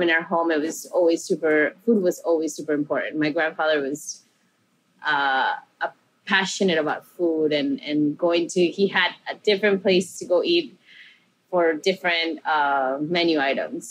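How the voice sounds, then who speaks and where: A woman's voice: sits low, fairly even intonation, moderate pace, rough recording. A woman from Peru, a chef and interview guest, telling her story in a rambling, self-correcting, upbeat way.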